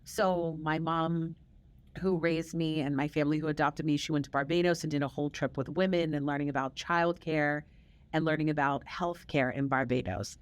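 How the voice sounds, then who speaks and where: A middle-aged woman with a notably low voice hosts a conversation, sharing family history in a reflective, storytelling way. She stresses her points by repeating words. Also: fairly even intonation, normal speed. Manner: reflective storytelling, warm emphatic repetition. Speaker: podcast host. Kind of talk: casual conversational interview.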